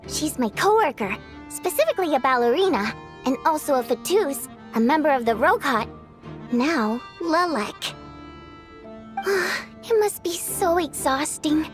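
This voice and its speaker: An actress playing a lively, chatty young woman in an anime-style video game, speaking expressively. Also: high-pitched, full character voice. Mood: musing. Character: lively young woman, secret member of underground group.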